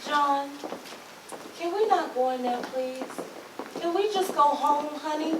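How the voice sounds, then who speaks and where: A woman's voice: sits high, measured pace, noisy background. A woman acting a frightened, jealous and bitter young woman on stage, pleading in an anguished way. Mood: fearful pleading. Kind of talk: stage readers theatre acting. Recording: echoey, distant mic, faint music.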